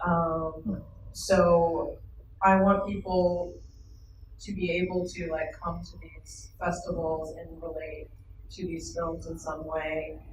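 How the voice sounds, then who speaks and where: A slow, hesitant speaker talks in a casual interview and fills gaps with fillers. The voice sits back from the mic. The room is echoey, with loud ambient noise behind it. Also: medium pitch, little pitch movement. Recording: echoey, distant, noisy room.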